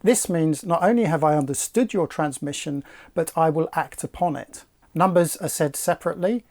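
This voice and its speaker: A middle-aged man presenting to camera, explaining things in a measured, articulate, slightly formal way. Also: fairly high pitch, darkish tone. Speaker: sailing channel presenter.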